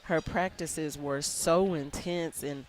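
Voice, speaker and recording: A woman basketball coach in a live radio interview, soft-spoken and earnest, often restarting her sentences. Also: low-pitched, fairly even intonation, slow, a little hiss or hum, low-quality recording.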